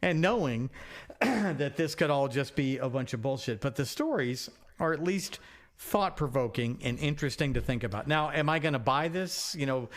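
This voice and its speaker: A man with a mid-pitched voice talks casually as a podcast co-host. He is plain-spoken and musing, offering opinions with a wry edge. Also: lively intonation, moderate pace.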